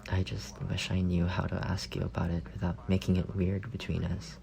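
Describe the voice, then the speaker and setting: A young man playing a shy, lovestruck roommate in an intimate ASMR roleplay, speaking tenderly and haltingly, often trailing into breathy murmurs. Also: low-pitched, completely flat delivery, darkish tone. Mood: hopeful, uncertain. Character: shy, lovestruck young roommate confessing softly to a sleeping friend.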